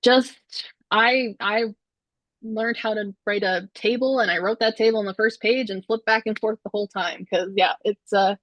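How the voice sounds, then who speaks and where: A woman's voice: medium pitch, steady tone, moderate pace, a bit bright, dry recording, highs cut off. A young woman, an optometrist and exam board member from Canada, answering students' questions on a video call in a friendly, informal way. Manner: friendly, informal, with laughs and fillers.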